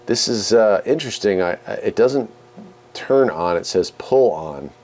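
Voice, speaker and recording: A man's voice: lowish voice, expressive, a little slow, darkish tone. A man hosting a hobby video in a casual, folksy way, musing aloud as he demonstrates equipment. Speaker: radio hobby video host. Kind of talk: casual hands-on demonstration chat.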